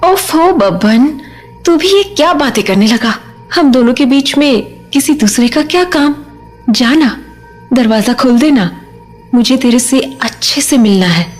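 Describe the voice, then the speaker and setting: A woman's voice: high voice, lively intonation, a little slow, faint music behind. A woman playing an undead village mother in a horror audio drama, sweetly coaxing and teasing as she lures people out. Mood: coaxing, impatient.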